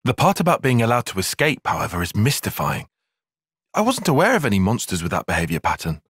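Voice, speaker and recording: Casting: young military strategist for a game, earnest and formal, husky edge, wide expressive pitch, dry studio sound. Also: male voice, no echo, exaggerated voice. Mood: analytical, composed. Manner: precise, formal, measured phrasing. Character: young male soldier tactician, analytical, formal, earnest. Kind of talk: video game cutscene voice acting.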